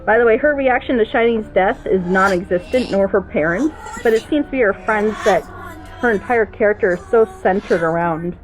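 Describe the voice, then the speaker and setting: A woman's voice: medium pitch, a little fast, few pauses, soft background music, low-quality recording. A woman giving casual, opinionated commentary as a panelist in a group reaction session. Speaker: animator.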